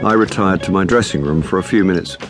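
A low-voiced, middle-aged English stage actor, worn and wry. He tells his story in a radio drama with deadpan, self-mocking wit. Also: fast talker, music in the background. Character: weary, wry, hard-drinking middle-aged male actor. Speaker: lead actor and narrator. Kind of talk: radio drama narration and dialogue.

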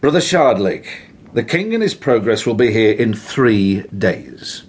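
A menacing, overbearing Tudor official for radio drama. His husky voice swings widely in pitch as he gives brusque, contemptuous orders. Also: very animated, measured pace, full character voice. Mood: brusque, commanding. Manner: brusque, commanding. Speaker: senior official. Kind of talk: full-cast radio drama.

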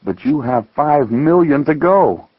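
A man voicing a calm, patient, merciful eldest brother in a parable, speaking in a measured, gentle way. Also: fairly high pitch, no echo, narrow, tinny audio, voice pushed up. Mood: firm, patient. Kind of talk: dramatized parable storytelling.

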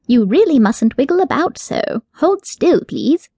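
A prim, fussy male rabbit in a waistcoat, put on by a woman storyteller, speaks in a high, haughty, formal way. The voice is lifted well above a normal speaking pitch. The recording is dry and close, with no room sound. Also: big pitch swings, moderate pace, no room sound, heavily acted.

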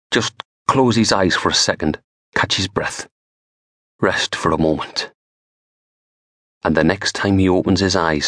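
A middle-aged man reading a crime novel aloud in a dramatic, measured storytelling manner. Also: low-pitched, fairly bright tone, no echo, clean studio sound. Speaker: author reading own audiobook. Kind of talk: scripted crime fiction narration.

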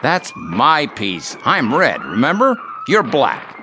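A man's voice: mid-range voice, wildly swooping pitch, takes it slowly, noisy background. An actor playing a cranky, cocky boarding-house man in a radio drama, brash and needling. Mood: gloating. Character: cranky, cocky male boarding-house resident, easily exasperated.

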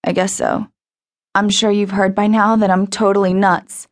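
A woman's voice: mid-range voice, little pitch movement, moderate pace, lightly acted. A woman voicing a nervous, skeptical teenage boy who speaks hesitantly and awkwardly.

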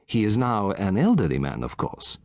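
Casual: guy doing a vain fussy old detective, formal, lively up and down. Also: on the low side, normal speed, vocal fry, dry recording, studio quality, full character voice. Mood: probing. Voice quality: formal, precise, self-important phrasing. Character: fussy vain little Belgian male detective, older. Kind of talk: audiobook character voice.

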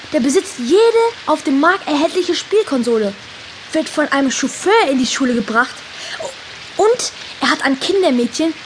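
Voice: high voice, a bit bright, a little hiss or hum. A performer playing an indignant, gossipy schoolchild in a children's audio drama, emphatic and scornful.

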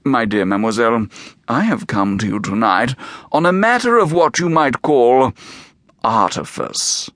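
A man reading an audiobook, voicing a scheming gentleman in a fez who talks in an oily, flattering way. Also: mid-range voice, dry recording. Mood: ingratiating. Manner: oily, flattering, slow and deliberate.

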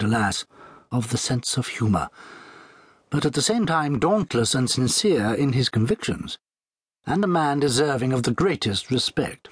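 A man narrating a literary story as an audiobook, measured and articulate, with formal phrasing. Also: mid-range voice, husky, no room sound, studio quality.

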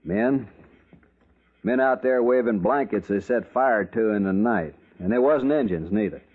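An actor playing a hostile, stubborn cattle trail boss in a 1950s radio western, curt and defiant. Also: male voice, fairly low pitch, a little slow, slightly rough. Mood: bitter, defiant. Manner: curt, defiant, drawling cowboy talk. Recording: muffled, noisy old radio recording.

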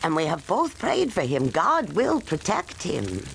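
Slow, creaky old grandmother. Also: low voice, huge pitch swings, slight background noise, full character voice. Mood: gentle, encouraging. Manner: folksy, soothing yet bossy. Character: kindly, feisty old grandmother of strong faith. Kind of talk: children's audio drama acting.

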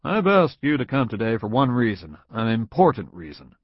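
A man's voice: medium pitch, very animated, normal speed, slightly rough, no room sound. A man voicing a confident male executive in an audiobook, speaking firmly and emphatically. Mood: assured. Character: confident smiling male boss, direct and warm. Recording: dull lo-fi audio.

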